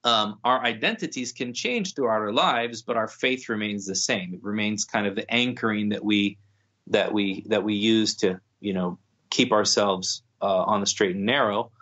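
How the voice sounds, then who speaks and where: Male podcast guest, measured and thoughtful, a little lo-fi. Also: lowish voice, pushed and bright. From United States. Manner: thoughtful, many restarts and fillers. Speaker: guest scholar. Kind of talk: podcast conversation.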